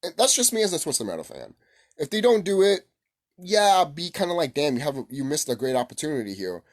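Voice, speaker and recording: A man hosting a casual, unscripted gaming vlog, rambling excitably, repeating himself and swearing casually. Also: fairly high pitch, brisk. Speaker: gaming video host.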